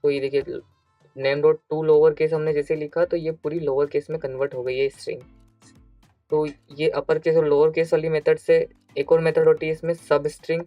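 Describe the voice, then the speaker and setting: An Indian man gives step-by-step tutorial narration in a mid-pitched, fairly flat voice. He explains as an instructor would, repeating key phrases. The sound is dry and close, with faint music behind him. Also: moderate pace, dry recording. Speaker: programming instructor.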